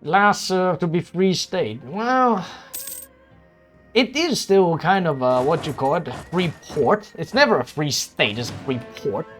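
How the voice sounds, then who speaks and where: A man's voice: fairly high pitch, expressive, unhurried, a bit bright, faint music behind. A man whose first language is Cantonese, chatting casually while streaming a strategy game, rambling with many restarts and frequent swearing.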